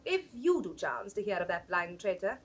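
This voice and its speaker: A woman's voice: medium pitch, very animated, moderate pace. A woman playing a cold, scheming noblewoman, imperious and ruthless, in classical Shakespearean stage style. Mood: cold, commanding. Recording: faintly noisy 1960s studio drama recording.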